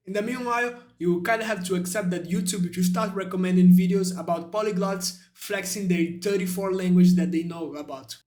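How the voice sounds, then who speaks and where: High-voiced Brazilian man, halting vlog talk. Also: reverberant. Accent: Brazilian Portuguese. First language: Brazilian Portuguese. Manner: halting, searching for words. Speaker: YouTuber. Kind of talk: spontaneous talking-head vlog.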